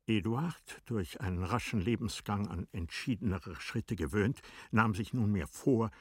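A man narrating a literary radio play in measured, deliberate phrases. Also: lowish voice, lively intonation, breathy edge, no room sound.

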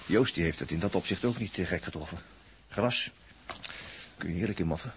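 A man's voice: deep voice, brisk, slight background noise. A man with a Netherlands Dutch accent acting a practical, easygoing, wry space crewman in a 1960s science-fiction radio drama, musing and conversational. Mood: drowsy, wry.

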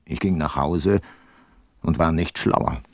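An actor playing an ageing, weary private detective and former prosecutor, sober and reflective, in a radio play. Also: male voice, extremely low pitch, slow pace, dry recording, clean studio sound, exaggerated voice. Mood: sober narrating. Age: older. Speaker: detective, narrator. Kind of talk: radio play narration and dialogue.

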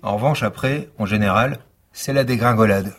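An actor playing a weary, sardonic police lieutenant with dry, deadpan delivery in a naturalistic fiction podcast. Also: male voice, on the low side, normal speed. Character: weary sardonic male police lieutenant, coffee-fuelled pessimist.

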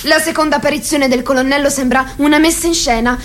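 A woman playing the narrator of a political radio drama, theatrical and deliberate, drawing out the ends of phrases. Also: high-pitched, fairly even intonation, a bit bright, a little hiss or hum. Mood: wry, ominous. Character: female narrator chronicling a grotesque political meeting.

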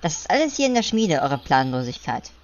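A woman's voice: low voice, sing-song, fairly quick, lo-fi sound. A woman playing a dutiful, slightly naive servant of a queen in a parody audio drama, deferential with dry asides. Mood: dryly helpful.

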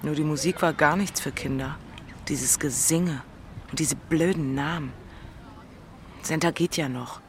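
A woman's voice: low voice, unhurried, long pauses, a little hiss or hum. An actress playing Senta, an impulsive, bookish single city woman, teasing and blunt, in a literary audio drama. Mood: grumbling.

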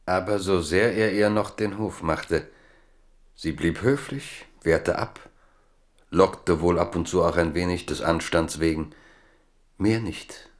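An actor serving as the composed narrator of a historical German radio drama. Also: male voice, deep voice, slow pace. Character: composed storyteller of a historical tale.

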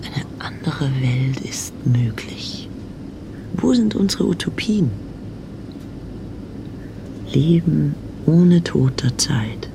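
A man's voice: on the high side, lively intonation, extremely slow, vocal fry, pauses often, background noise, exaggerated voice. An actor playing a pensive, disillusioned filmmaker, a man who narrates a radio drama in a reflective, questioning manner. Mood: questioning, brooding.

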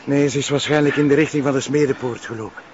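An actor playing a sensible, mildly wry police colleague in a TV crime drama, matter-of-fact. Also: male voice, mid-range voice, steady tone, quick pace, a little mellow, close to an everyday voice. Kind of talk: naturalistic TV crime drama acting. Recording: muffled, noisy location sound.

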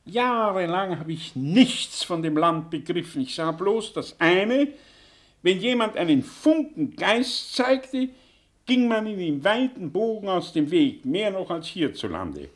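A man's voice: sits high, big pitch swings, unhurried. A man acting a worldly, sardonic returned professor in a German radio play, theatrical, reflective and emphatic in his rhetoric. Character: worldly, sardonic professor back from exile in America.

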